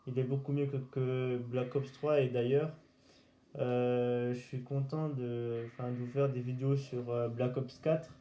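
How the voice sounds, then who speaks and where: A man giving casual gaming commentary in a halting, hesitant way, searching for words. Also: medium pitch, little pitch movement, warm tone. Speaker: gaming video host. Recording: roomy echo, faint background noise.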